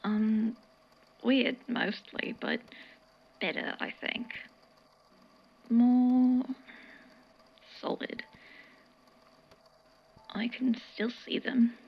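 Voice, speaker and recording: A woman playing a weary, recovering friend in an audio drama, with a soft breathy voice and a hesitant, dry-witted manner. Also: fairly high pitch, fairly even intonation, very slow, long pauses, dull audio, full character voice. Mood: dazed, relieved. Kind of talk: naturalistic audio drama acting.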